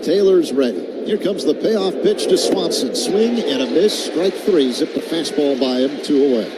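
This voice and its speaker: A man's voice: on the high side, lively intonation, moderate pace. An American man calling live baseball play-by-play on the radio. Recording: very noisy ballpark radio broadcast. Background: loud stadium ambience.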